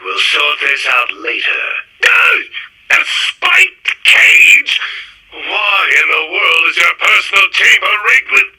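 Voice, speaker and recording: An actor playing a pompous, exasperated mad-scientist supervillain in a retro radio serial, grandiose and wordy. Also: male voice, sits high, very animated, takes it slowly, pushed and bright, husky, heavily acted, poor audio. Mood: exasperated, flustered. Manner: grandiose, theatrical, verbose.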